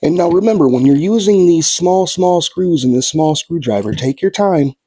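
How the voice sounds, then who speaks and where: Husky, sniffly man giving laid-back tips. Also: a little high, lively intonation, moderate pace, dark tone. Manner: laid-back and folksy, thinking aloud. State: sniffly with a slight cold. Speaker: guitar kit builder. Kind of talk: casual how-to narration while working.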